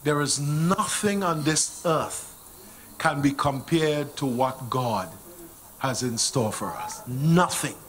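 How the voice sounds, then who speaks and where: A middle-aged man with a Vincentian accent preaching a sermon in a church, speaking into a handheld microphone, swinging from hushed appeals to loud exclamations. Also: a little high, slow pace, slight background noise, poor audio. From Saint Vincent and the Grenadines. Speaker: pastor. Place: church sanctuary stage.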